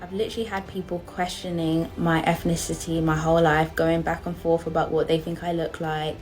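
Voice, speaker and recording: A woman's voice: low-pitched, level tone, a little fast, darkish tone, faint music behind, rough recording. A woman talking to camera in an emphatic, casual rant.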